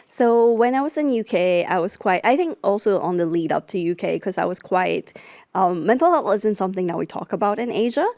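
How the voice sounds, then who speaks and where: A woman's voice: medium pitch, lively intonation, a little fast, darkish tone, no room sound. A young woman from the Philippines, a startup co-founder, answering interview questions casually with lots of "like" fillers. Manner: chatty, frequent "like" fillers.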